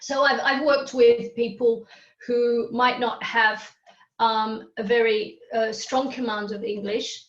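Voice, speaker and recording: A woman whose first language is Hungarian presents a live webinar over a video call, explaining each step and pausing to ask "okay?". Her voice sits a little high. The audio is roomy and somewhat dull. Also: fairly even intonation. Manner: explanatory, often checks in with "okay?".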